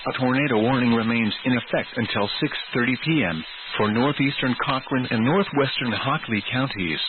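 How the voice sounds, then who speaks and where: A synthesized male voice reads scripted emergency weather bulletins in a formal, measured, impersonal way as an automated radio announcer. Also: mid-range voice. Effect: synthesized text-to-speech voice. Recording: tinny narrowband weather radio, clear static noise.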